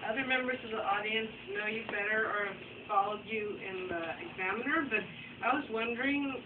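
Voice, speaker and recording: A woman's voice: fairly high pitch, fairly quick. A woman in the audience at a bookstore talk, putting an informal question to the speaker. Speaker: audience member asking a question. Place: bookstore reading event. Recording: distant, echoey, very noisy, muffled.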